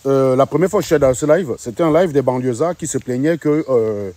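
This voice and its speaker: A man's voice: medium pitch, brisk, mellow, dry recording. A middle-aged man, hosting a live stream outdoors on his phone, mocking and insistent. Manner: combative, mocking, insistent questioning. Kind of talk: casual livestream chat. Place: outdoors on a sunny street. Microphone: phone at arm's length.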